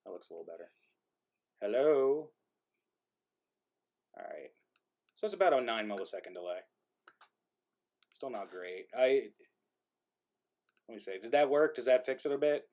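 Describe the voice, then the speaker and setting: A man hosting an online live stream in casual chat, thinking aloud in a halting way as he goes. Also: mid-range voice, animated, darkish tone. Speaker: host of an online live-streamed play reading. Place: remote group video stream.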